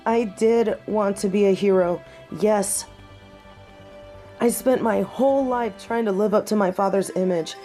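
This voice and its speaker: A young woman playing a devoted half-wolf heroine in a romantic audio drama, speaking earnestly and tenderly with halting pauses, as if to one loved person. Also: medium pitch, warm tone, faint music behind, natural voice. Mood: earnest, pleading. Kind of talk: romantic audio roleplay acting, intimate one-sided dialogue.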